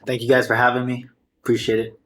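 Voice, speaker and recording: A man's voice: medium pitch, monotone, fast talker, fairly bright tone. A man in his twenties speaking as a podcast guest, explaining things in an informal, reflective way. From Filipino American. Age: 20s. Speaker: podcast guest, streetwear brand founder. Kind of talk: casual interview, telling his story.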